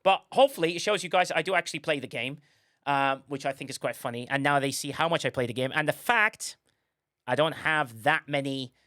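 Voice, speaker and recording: A man's voice: a little high, fairly quick, bright, forceful tone, no echo, clean studio sound. A man hosting a livestream, chatting casually in a rambling way and often correcting himself as he goes. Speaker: livestream host.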